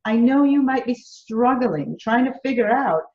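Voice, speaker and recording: A woman's voice: fairly high pitch, expressive, normal speed. A woman with a Jamaican accent speaks earnestly as an interview guest, stacking phrase upon phrase. Her voice carries the echo of a roomy space. The audio sounds slightly dull and lo-fi. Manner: earnest, builds through repeated phrases. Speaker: business coach, interview guest. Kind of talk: conversational interview answers.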